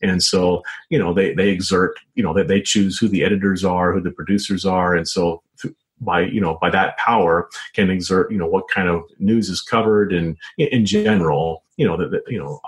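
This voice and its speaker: An American man answering as an interview guest in a conversational way, halting, often repeating words and saying "you know". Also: low voice, fairly even intonation, poor audio. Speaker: interview guest, author and journalist.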